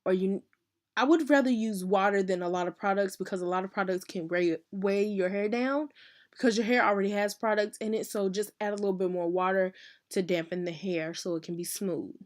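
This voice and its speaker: A sick woman, her voice hoarse and worn as she talks viewers through a hair tutorial. She is casual and chatty and often stops mid-phrase to correct herself. Her pitch sits in the middle of a woman's range. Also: brisk. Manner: chatty, uses "y'all", self-correcting. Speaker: hairstylist teaching a tutorial.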